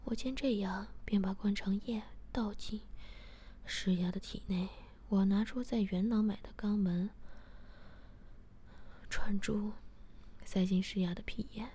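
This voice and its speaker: A woman narrating a story in a soft, steady whisper. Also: medium pitch, unhurried, pauses often, a little hiss or hum. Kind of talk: whispered audio story reading.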